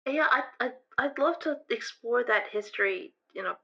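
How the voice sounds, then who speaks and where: A woman's voice: a little high, animated, normal speed, creaky, sharp tone, full character voice. A young woman playing an anxious, self-doubting student in an improvised audio drama, speaking hesitantly with stammers, on a slightly dull recording. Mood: anxious, dejected. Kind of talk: improvised actual-play audio drama, conversational.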